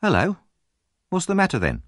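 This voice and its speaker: Guy doing a cheeky street kid acting all grown up, pitched way up, chummy n brisk, storybook style. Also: wildly swooping pitch, fairly bright tone, no room sound, studio quality, full character voice. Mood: curious, cocky. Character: cocky streetwise boy acting like a grown gentleman.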